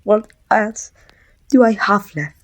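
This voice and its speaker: A woman from Mexico playing a weary, bittersweet supervillain in an ASMR roleplay, breathy and often near a whisper, with slow, deliberate phrasing. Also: medium pitch. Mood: shocked, anguished. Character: weary, bittersweet female supervillain confronting a dying rival.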